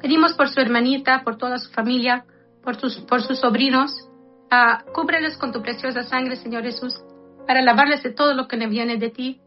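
A high-voiced woman in her fifties speaks earnestly to her listeners, mixing a reflection on life with a heartfelt prayer. The sound is dull and lo-fi, like a radio broadcast. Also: steady tone, moderate pace, faint music behind. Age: 50s. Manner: earnest, addresses listeners as brothers and sisters.

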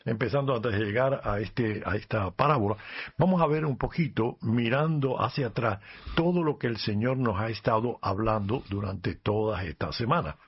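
A man who is a priest, reading a gospel passage and explaining it in a calm, measured way on a radio program. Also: lowish voice, breathy edge, dull audio.

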